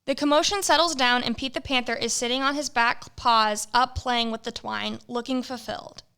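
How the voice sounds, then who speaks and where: A woman narrating a comic student radio play in a brisk storybook manner. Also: on the high side, fairly even intonation, pushed and bright, clean tone.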